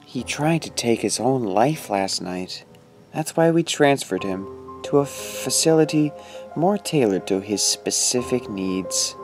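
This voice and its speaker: An actor playing a stern, formal doctor with a secret cult, in an audio horror drama. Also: male voice, medium pitch, expressive, darkish tone, soft background music, natural voice. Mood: grave concern. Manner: formal, deliberate.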